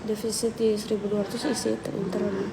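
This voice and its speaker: A young woman with a cold, chatting casually with viewers on a livestream, relaxed and thinking aloud. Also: medium pitch, quick pace, vocal fry. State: has a cold, coughing. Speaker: livestream host answering viewers. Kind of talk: casual live chat reading viewer questions. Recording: noisy livestream audio.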